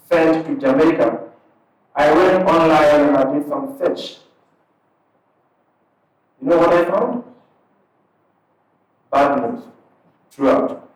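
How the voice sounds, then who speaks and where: Ghanaian imam talking to a crowd, slightly high voice, boomy echo, mic far off. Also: male voice. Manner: measured, halting delivery in short phrases. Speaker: imam and parish missionary. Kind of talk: public address at a community giveaway. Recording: echoey hall, far from the mic.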